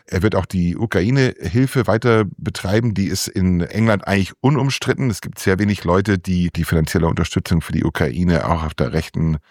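A man's voice: deep voice, brisk, hardly any pauses, darkish tone, dry recording, studio quality. A man giving unscripted political commentary as a correspondent answering interview questions. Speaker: political correspondent reporting from London.

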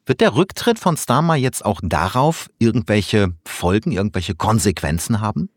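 A man's voice: on the low side, animated, moderate pace, no echo. A man hosting a news interview, putting prepared questions to a correspondent.

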